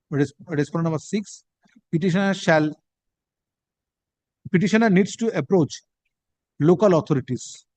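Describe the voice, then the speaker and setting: High-pitched Indian man dictating formally. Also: darkish tone, no echo. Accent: Indian English. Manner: halting, deliberate, speaking punctuation aloud. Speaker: judge dictating an order. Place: courtroom.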